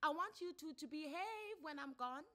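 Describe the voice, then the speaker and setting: A woman voicing a measured, formal female court counselor who questions deliberately in a dramatised story reading. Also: high voice, animated, sharp tone, voice pushed up. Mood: firm, explanatory. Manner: deliberate, questioning, drawn-out phrasing.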